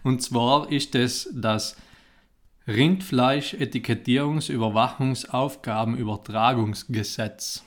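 A man's voice: mid-range voice, slow pace. Man in dialect, chatting casually. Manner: colloquial, dialect-heavy. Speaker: podcast co-host. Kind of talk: casual banter and storytelling.

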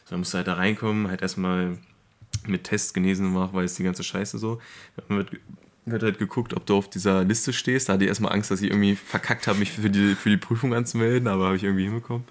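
A man's voice: on the low side, little pitch movement, fast talker. A young man hosting a podcast in casual, slangy, colloquial chat among friends. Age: young adult. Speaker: podcast host.

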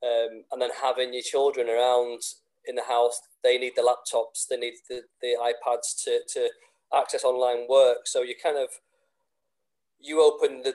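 Congested man with a flat voice. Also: mid-range voice. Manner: hesitant, many restarts and fillers. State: congested with a cold; sore throat. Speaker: PE teacher. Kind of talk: casual interview answers.

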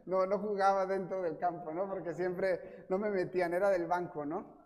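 A man from Mexico with a Mexican accent, preaching a sermon as pastor, telling self-mocking stories and acting out quotes in a lively way. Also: high-pitched, moderate pace. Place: church. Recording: reverberant church hall.

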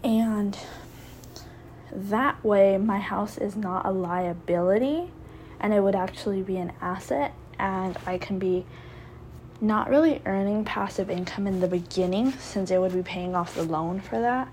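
Slow-talking young woman, mellow voice. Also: medium pitch, a little hiss or hum, rough recording. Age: 20s. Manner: rambling, reflective, many fillers and restarts. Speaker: podcast host. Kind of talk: casual solo podcast talk.

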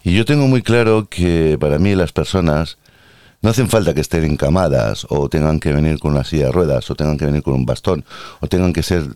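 A man's voice: very deep voice, dark tone, no room sound, studio quality. A man with an Andalusian accent hosting a casual podcast monologue, ranting in a sarcastic, playfully theatrical way about work as a healthcare worker.

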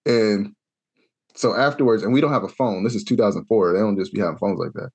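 A young adult man from Kansas telling a long, animated story in a casual podcast chat, acting out the voices he quotes. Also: lowish voice, quick pace, breathy edge. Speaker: podcast co-host.

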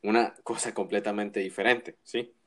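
A man's voice: fairly low pitch, steady tone, normal speed. A man co-hosting a casual wrestling chat, giving opinions with frequent restarts and tag questions. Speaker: wrestling podcast co-host.

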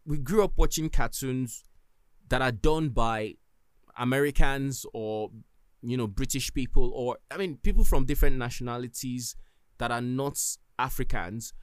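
A man's voice: medium pitch, normal speed, smooth, dry recording. A man with a Nigerian accent, hosting a solo podcast and giving earnest, emphatic advice. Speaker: podcast host.

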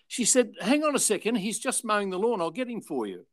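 An older Australian man, a former radio and television presenter, telling anecdotes in a relaxed interview and acting out the people he quotes. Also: high-pitched, a little fast, no echo, clean studio sound. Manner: chatty, animated retelling with voiced quotes.

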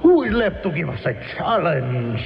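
An actor in a radio drama playing a wicked, taunting old shape-shifting sorcerer with theatrical, drawn-out exclamations. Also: male voice, a little high, wildly swooping pitch, gravelly, heavily acted. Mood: menacing. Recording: muffled radio broadcast with loud music.

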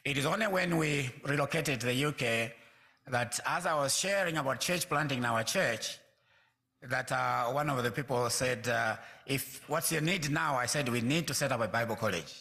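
A man in his sixties from Zambia, a pastor speaking to a congregation in a measured way, pausing often with fillers. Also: medium pitch, pushed and bright. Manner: measured, with frequent hesitant fillers. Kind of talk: church talk to a congregation.

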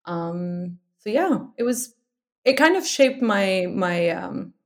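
A woman's voice: mid-range voice, expressive, slow pace. Cheerful young woman guest chatting. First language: French-educated Lebanese. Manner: cheerful, laughs often. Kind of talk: casual chat.

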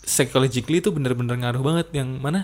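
A man, talking in relaxed podcast chat. His voice sits at an ordinary middle pitch. He drops English phrases into his slangy talk. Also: fast talker. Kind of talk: casual chat.

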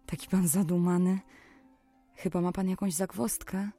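A woman playing a gentle, curious young maiden in a radio play, courteous and attentive. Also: fairly low pitch, flat delivery, moderate pace, faint music behind. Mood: caring, curious.

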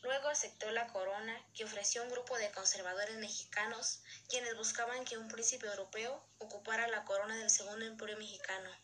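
A woman's voice: a little high, fairly even intonation, brisk, few pauses, pushed and bright. A woman presenting in a formal way, reading scripted educational narration aloud.

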